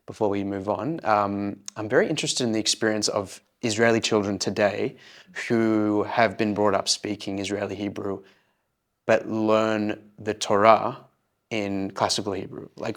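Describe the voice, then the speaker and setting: A man hosting an interview, framing questions hesitantly with fillers. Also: fairly low pitch. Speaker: podcast host.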